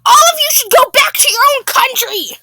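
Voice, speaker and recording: Storytelling role: woman playing entitled diner, shrieking with indignation, very high pitch, close dry sound. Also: lively intonation, a little slow, no echo, heavily acted. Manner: shrill, indignant, shouting.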